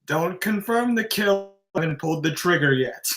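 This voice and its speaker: An actor in a script table read playing a curt, menacing general who speaks in cold threats. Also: male voice, high voice, animated, normal speed. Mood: cold, threatening.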